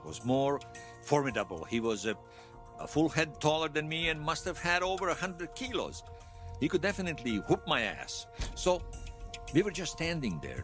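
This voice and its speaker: An actor playing an earnest, fervent veteran loyalist giving testimony in a fiction podcast, conversational and emphatic. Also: male voice, medium pitch, natural voice. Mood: tense recollection. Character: earnest male veteran loyalist recounting an uprising, fervent believer. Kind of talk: fiction podcast monologue told as interview testimony.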